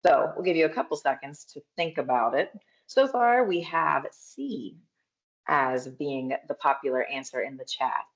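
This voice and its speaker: A woman moderating an online school open house, guiding the audience step by step in a lively way over video call. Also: sits low, normal speed. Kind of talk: upbeat event hosting. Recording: dull lo-fi video-call audio.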